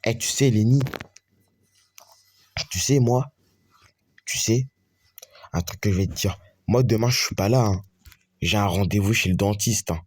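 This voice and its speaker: A man with a lowish voice talks his way slowly through a homemade school story, playing every part himself. He stumbles and repeats phrases as he goes. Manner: halting, repetitive, restarts mid-phrase. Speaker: storyteller voicing all characters. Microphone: screen-recorder capture.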